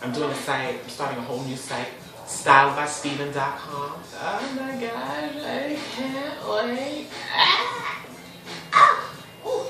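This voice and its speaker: A man in his twenties hosting his own casual talk show, chatty and expressive, with sudden high squeals. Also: takes it slowly, recorded from a distance, over loud music. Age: 20s. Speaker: host. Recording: echoey room, voice a bit off the mic.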